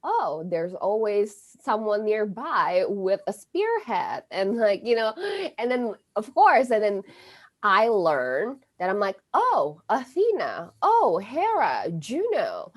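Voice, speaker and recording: A woman whose first language is Indonesian, talking as an astrologer guest in an interview, halting, with many fillers and restarts. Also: medium pitch, wildly swooping pitch. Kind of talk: conversational interview.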